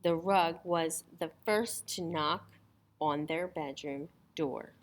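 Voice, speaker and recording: A woman's voice: sits low, slow pace, a little hiss or hum. A woman storyteller reading a children's story aloud in a dramatic style.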